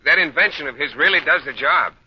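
A man's voice: fairly high pitch, lively intonation, fast, slightly rough. An actor playing a mild, earnest, oblivious male colleague with an American accent in an old-time radio comedy. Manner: earnest, polite. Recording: dull old radio broadcast, heavy noise.

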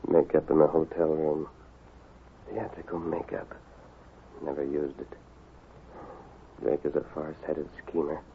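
A man playing a stern, commanding masked lawman in an old-time radio western, speaking with firm, deliberate authority. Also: mid-range voice, close to an everyday voice. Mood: brooding. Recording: tinny, hissy old radio broadcast.

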